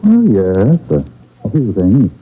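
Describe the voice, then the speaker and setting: A commanding, dry detective in vintage radio mystery. His voice is fairly low with lively swings in pitch. The sound is dull and band-limited. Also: slow pace, husky, faint music behind, exaggerated voice. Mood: quietly menacing. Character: cool, authoritative male detective boss, dryly probing. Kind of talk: 1970s radio mystery acting. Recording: dull, faintly noisy vintage radio broadcast.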